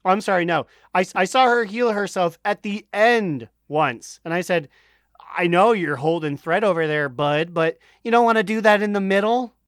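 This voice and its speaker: A man's voice: high-pitched, moderate pace, fairly bright tone, no room sound, studio quality. A man hosting a podcast in casual chat, with a jokey, sweary manner. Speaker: podcast host.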